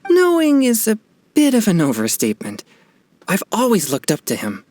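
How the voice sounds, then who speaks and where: A man's voice: sits high, wildly swooping pitch, normal speed, darkish tone, slight background noise. A man voicing an earnest, polite, formal teenage boy exorcist in a game dub. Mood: awed. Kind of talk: game cutscene dub acting.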